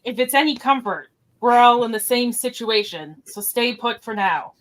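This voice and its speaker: A woman's voice: a little high, animated, moderate pace, close to an everyday voice. A woman voicing a confident, flirtatious male starship first officer in an amateur radio play. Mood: calm, in command. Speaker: podcaster acting in script reenactment.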